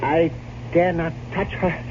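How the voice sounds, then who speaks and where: High-voiced, fearful manservant. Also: male voice, fairly even intonation. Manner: halting, dread-filled, dramatic pauses. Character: fearful, superstitious manservant dwelling on horrors. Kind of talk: old-time radio horror acting. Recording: noisy, muffled old radio broadcast recording.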